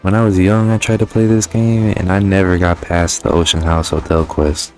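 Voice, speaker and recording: A man's voice: low voice, flat delivery, moderate pace, a little mellow, soft background music. A young man giving a casual, sweary video game review as a gaming YouTuber. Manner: informal, heavily sweary.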